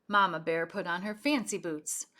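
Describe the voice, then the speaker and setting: A woman's voice: fairly low pitch, normal speed. A woman reading a children's story aloud expressively, speaking directly to young listeners. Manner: animated, addressing young listeners with questions. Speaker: storybook reader.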